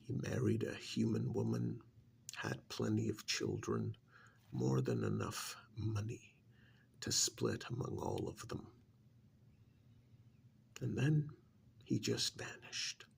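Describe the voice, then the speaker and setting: A man voicing an ancient alien creature who scorns humans, in a gravelly, breathy ASMR roleplay monologue that often sinks into whispers, slow and brooding. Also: fairly low pitch, huge pitch swings, slight background noise. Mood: smug, brooding. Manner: slow, deliberate, brooding, with sighs and pauses.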